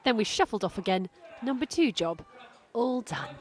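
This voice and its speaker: British woman narrating over music, fairly high voice. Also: sing-song, moderate pace. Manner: wry, self-mocking. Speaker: narrator and presenter. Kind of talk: light-hearted scripted voice-over narration.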